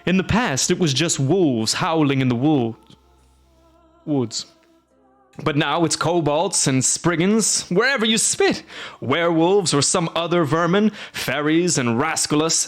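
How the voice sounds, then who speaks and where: A man voicing a loud, gruff middle-aged castellan who speaks emphatically with sharp rises. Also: on the high side, voice pushed up. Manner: exclaiming, emphatic, rising.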